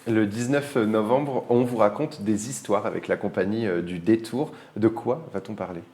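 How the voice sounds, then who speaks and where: A man's voice: lowish voice, normal speed, a little mellow. A man with an accent from France, putting prepared questions to a guest as a radio interviewer. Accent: French from France.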